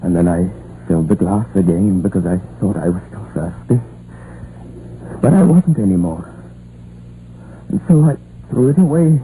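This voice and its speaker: Young Welsh miner, old radio play. Stubborn, argumentative, wistful; dark, fairly low voice, noisy archival sound. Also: male voice, lively intonation, normal speed, long pauses. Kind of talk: 1950s radio drama acting. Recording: noisy, muffled vintage radio recording.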